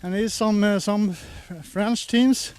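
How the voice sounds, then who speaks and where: Guy reporting outside on a hill, handheld mic, high clear voice, lots of uhs. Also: steady tone, darkish tone. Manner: halting, many uhs, walking uphill while talking. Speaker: trackside race reporter. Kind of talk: live walking commentary. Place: outdoors on a grassy hillside. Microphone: handheld microphone.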